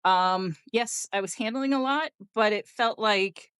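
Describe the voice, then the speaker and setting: A woman talks casually and reflectively in a group discussion. Her voice is smooth and clean, recorded dry and close. She phrases her thoughts haltingly as she goes. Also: medium pitch, fairly bright tone, no echo. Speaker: podcast guest, heathen hall member.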